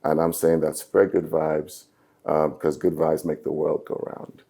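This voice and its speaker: A middle-aged man from Nigeria whose first language is Yoruba, talking in an interview as a musician guest in an earnest, upbeat way with short measured phrases. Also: rumbling low, flat delivery, gravelly, warm tone. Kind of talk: reflective interview talk.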